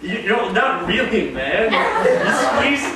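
A man playing an easygoing slacker in a staged reading, talking in halting slang. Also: high voice, very animated, a loud crowd, heavily acted. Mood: explaining, eager. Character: easygoing young slacker explaining a party game. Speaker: amateur actor. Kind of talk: improvised staged reading before an audience. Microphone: far camera. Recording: echoey room from far off, very noisy.

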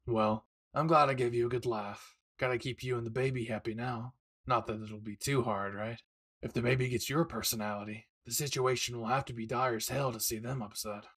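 A man acts out a brash young anime husband with a husky voice. He teases and reassures his wife tenderly, close and intimate, as in a romantic audio roleplay. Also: mid-range voice, steady tone, fairly quick, a bit bright. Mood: warm, reflective. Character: brash, devoted young husband, excited father-to-be.

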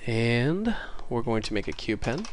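A man with a congested voice from a cold, casually talking through a coding tutorial while typing, chatty and easily excited. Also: mid-range voice, unhurried, a little hiss or hum. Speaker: programming tutorial host.

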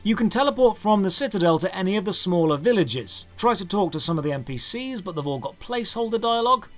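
A man's voice: high-pitched, lively intonation, quick pace, a bit bright, faint music behind. A British man in his thirties narrating a scripted, critical video game review in an emphatic, sardonic manner. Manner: emphatic, rhetorical questions, sardonic.

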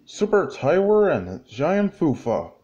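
A man reads a children's story in a high voice, taking his time between halting phrases. His pitch jumps around in an animated, exclamatory read-along style. The recording is dull, with faint noise behind it. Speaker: storyteller reading a homemade children's book.

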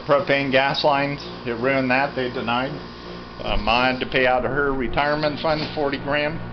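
A man in his fifties with an American accent talks casually and matter-of-factly as he walks around with a handheld camera. His delivery rambles at an ordinary middle pitch. The audio is dull and lo-fi, with music clearly playing behind him. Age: 50s. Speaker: vlogger, farm resident. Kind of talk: casual handheld walk-through commentary. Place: indoors, cluttered concrete basement. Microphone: handheld camera at arm's length. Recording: dull lo-fi camera audio, loud background sound. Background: loud continuous radio playing.

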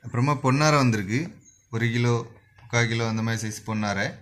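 A man with a Kanyakumari Tamil accent, a fish shop owner running through the day's catch in a brisk, repetitive vendor's listing, filmed on a handheld phone at an indoor market stall. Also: medium pitch, fairly bright tone. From Kanyakumari district, South India. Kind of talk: spoken product rundown for a shop channel.

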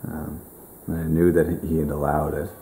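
A man in his twenties telling a personal story in a halting, emotional way, with many restarts, in a living room. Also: extremely low pitch, little pitch movement, gravelly, dark tone, a little hiss or hum. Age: 20s. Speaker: grieving brother. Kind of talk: personal testimony.

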